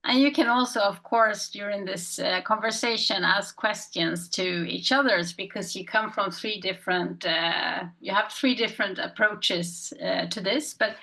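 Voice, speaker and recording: A woman's voice: medium pitch, a little slow, creaky, hardly any pauses, bright, forceful tone, poor audio. A woman moderating an online panel, asking long questions with many fillers. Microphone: online video call.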